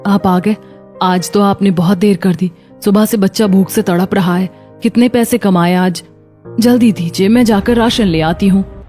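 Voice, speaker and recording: A woman playing a poor, hardworking mother in a cartoon story, anxious and gentle. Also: medium pitch, fairly even intonation, normal speed, soft background music, lightly acted. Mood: anxious, upset.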